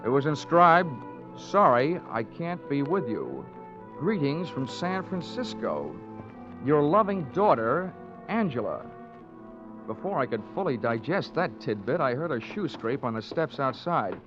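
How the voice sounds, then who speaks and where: A wisecracking hardboiled radio detective. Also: male voice, mid-range voice, big pitch swings, measured pace. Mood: wry narrating. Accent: American. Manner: wry, dry, flippant. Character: wisecracking hardboiled male insurance investigator. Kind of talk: 1940s-50s radio detective acting, first-person narration. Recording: dull old radio recording with music behind.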